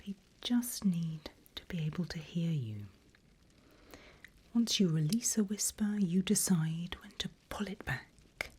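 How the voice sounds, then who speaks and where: A woman whispering an ASMR roleplay as a seasoned demon mentor, slow and deliberate, with playfully sly menace, close to the microphone. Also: fairly low pitch, animated, darkish tone, slight background noise.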